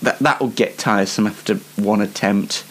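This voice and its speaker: A man's voice: lowish voice, normal speed. A British man hosting an unscripted comic news show in a rambling, self-mocking way. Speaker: host of a comedy news show.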